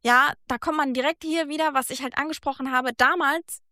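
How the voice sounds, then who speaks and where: Earnest high-voiced German woman. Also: fairly even intonation, a little fast, bright, forceful tone, dry recording, clean studio sound. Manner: earnest, reflective, speaks in long thoughtful runs. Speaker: guest. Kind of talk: casual conversation.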